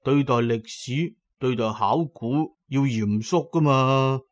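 A man plays a strict, serious teacher with a Cantonese accent in an audio drama. He is loud and reproving, telling off a pupil. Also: medium pitch, slow, natural voice. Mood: scolding. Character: stern, scolding male archaeology teacher, strict and serious.

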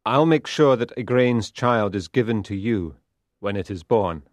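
An excitable lovesick king from a storybook, eager, dry close sound. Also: lowish voice, a little slow, dry recording. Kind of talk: storybook reading.

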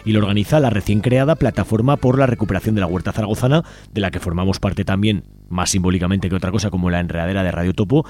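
A man with a low, mellow voice speaks Spanish with an accent from Spain. He presents community radio announcements informally, inviting listeners in directly. His delivery moves along very quickly and stays conversational.

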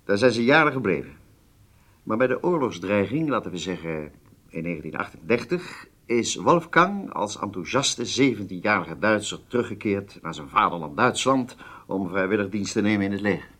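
An actor playing a shrewd, informal intelligence planner in a radio drama, with a confident, conversational, wry manner. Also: male voice, lowish voice, sing-song, normal speed, sharp tone, full character voice. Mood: explaining. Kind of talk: Dutch radio drama acting.

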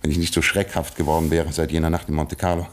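A man playing an absent-minded British lord in a radio play, speaking formally and with dry, resigned understatement in a gravelly voice. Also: a bass voice, little pitch movement, fast. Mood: stately, composed. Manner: formal, hesitant, stately, dryly understated. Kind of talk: German radio play acting.

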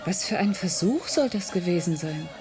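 An actress in a radio play voicing an exasperated wife, sharp, mocking and impatient. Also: female voice, fairly low pitch, lively intonation, normal speed, faint music behind, natural voice. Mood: annoyed. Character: exasperated, scolding, sarcastic wife. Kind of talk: German radio play acting.

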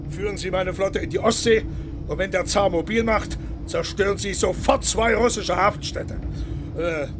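A man acts out an impetuous, imperious Kaiser in a radio drama. His voice is pitched high and he snaps out dismissive orders over clearly audible outdoor vehicle-like noise. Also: moderate pace, natural voice. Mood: commanding. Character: blustering, impetuous German Kaiser, brash and commanding. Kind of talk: historical radio drama acting. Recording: noisy outdoor scene, engine-like ambience.

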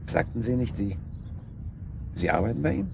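A man's voice: lowish voice, big pitch swings, normal speed, creaky. An actor playing a genial, inquisitive local man, chatty and politely probing, in naturalistic radio drama. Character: Herr Brohmann, genial, inquisitive married boat renter. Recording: background noise and ambience.

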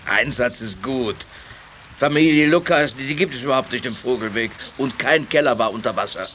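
An actor playing an indignant municipal official in a German children's radio play. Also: male voice, mid-range voice, a little fast, a bit bright, a little hiss or hum, lightly acted. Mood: stern, reproachful.

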